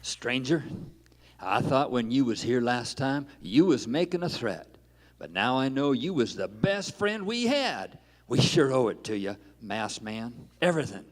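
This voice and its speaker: A man playing an excitable, plain-spoken ranch hand with an in a live radio play. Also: mid-range voice, unhurried. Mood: humbly grateful. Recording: echoey hall with orchestral music.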